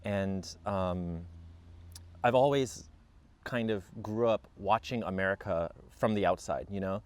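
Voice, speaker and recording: A man's voice: low voice, a little slow, a little mellow. A man in his late thirties who grew up in Japan, chatting casually outdoors as he answers viewer questions, with many ums and restarts. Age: 30s. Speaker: vlogger. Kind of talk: casual Q&A chat.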